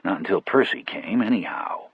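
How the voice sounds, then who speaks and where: Guy reading an audiobook story in first person, husky lowish voice, kinda muffled and dry. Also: normal speed, dry recording. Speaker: audiobook narrator.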